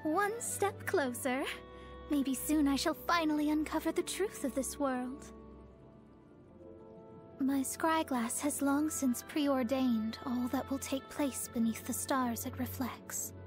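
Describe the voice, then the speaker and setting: A proud young fortune teller from a video game, with a high-pitched feminine voice. She speaks formally and theatrically, with a self-important, haughty air. Music plays behind her. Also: slow. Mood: solemn, triumphant. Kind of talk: scripted video game character voice lines.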